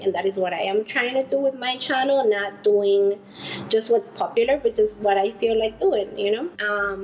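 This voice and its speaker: A woman's voice: mid-range voice, darkish tone, slight background noise, rough recording. A woman in her twenties from the Dominican Republic who moved to the United States, chatting casually to camera as a beauty vlogger, recorded indoors at home. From Dominican Republic, later United States. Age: 20s. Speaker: beauty vlogger, freelance makeup artist.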